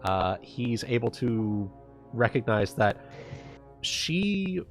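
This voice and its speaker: A man narrating a tabletop fantasy game as game master, deliberate and dramatic. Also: mid-range voice, sing-song. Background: music.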